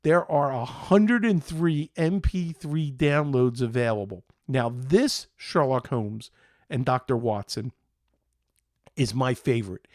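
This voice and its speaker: A middle-aged man from Philadelphia with an American accent, hosting a casual podcast in a halting, meandering, enthusiastic way. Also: medium pitch, a little mellow, dry recording, studio quality. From Philadelphia, United States. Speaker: show host.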